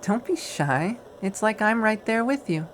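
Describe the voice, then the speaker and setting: A man voicing a dominant woman who teases and controls in a soft, coaxing purr, in a visual novel. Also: sits high, animated, unhurried, a little hiss or hum, pitched above the performer's own voice. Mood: teasing, coaxing. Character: Violet, purring dominant woman, teasing and controlling.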